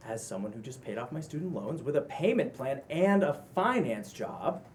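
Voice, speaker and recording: A man's voice: mid-range voice, a little mellow, a little hiss or hum, close to an everyday voice. A man playing a teasing, supportive roommate in a casual comedy-drama script read, sounding conversational and playfully sassy. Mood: gently frank. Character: Max, exasperated, teasing, supportive male roommate and friend. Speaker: actor at a table read.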